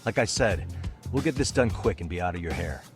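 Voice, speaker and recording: Casting: world-weary detective in a game, deep and unhurried-sounding, smooth talker, over clear music. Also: male voice, lively intonation. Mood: polite, evasive. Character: calm, world-weary private detective in his thirties. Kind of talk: video game cutscene dialogue.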